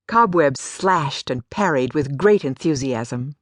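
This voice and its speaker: A woman's voice: low voice, animated, slow, dry recording. A woman giving a scripted story narration as an audiobook narrator. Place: dry studio.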